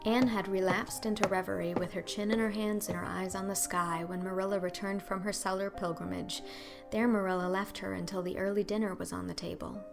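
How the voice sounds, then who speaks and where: A woman narrates a storybook in scripted audiobook style. Her voice is of medium pitch, with music faintly behind it. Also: little pitch movement, a little fast, vocal fry.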